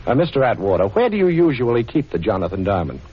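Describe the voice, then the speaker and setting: A man's voice: medium pitch, very animated, quick pace, slightly rough. A man acting a cool, wisecracking amateur sleuth in a 1940s radio drama, breezy, teasing and commanding, with an American accent. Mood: friendly probing. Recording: muffled 1940s radio broadcast recording.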